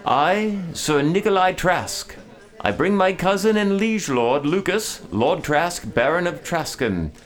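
An actor playing a loyal, courtly knight in an audio drama, formal and dry in manner. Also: male voice, high-pitched, animated, takes it slowly. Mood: ceremonial, proud. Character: loyal knight, courtly male cousin to a lord.

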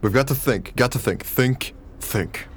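An actor playing an anxious, analytical, argumentative husband with an earnest, questioning manner in a radio play. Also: male voice, mid-range voice, measured pace, breathy edge. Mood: agitated.

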